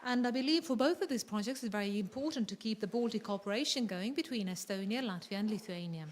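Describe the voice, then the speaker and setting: A woman moderating and interpreting at a press conference, rendering statements smoothly into English. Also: medium pitch, quick pace. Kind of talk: consecutive interpreting.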